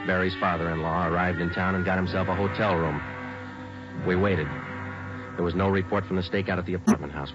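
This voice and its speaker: A man playing a clipped, deep-voiced police sergeant. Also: natural voice. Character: terse male police sergeant narrator. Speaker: police detective sergeant narrating. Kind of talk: 1950s radio crime drama. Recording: muffled vintage radio broadcast with music.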